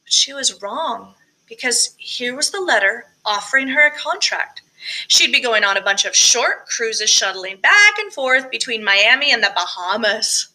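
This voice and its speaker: Casting: female audiobook reader, expressive first-person narration, higher register, very bright tone. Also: normal speed, poor audio. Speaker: audiobook reader, choir director.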